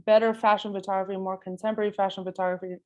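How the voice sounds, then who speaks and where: A woman's voice: mid-range voice, level tone, racing, darkish tone, lo-fi sound. A woman guest photographer answering interview questions casually and informally, thinking aloud with plenty of filler phrases. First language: not Spanish; Spanish is second language. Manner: informal, thinking aloud, frequent "like" and "you know". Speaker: guest photographer giving an artist talk.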